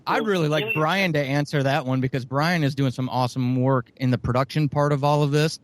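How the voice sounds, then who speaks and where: A man's voice: medium pitch, steady tone, a little fast, a little hiss or hum. A man who writes and produces audio dramas, answering interview questions in a rambling way with lots of fillers.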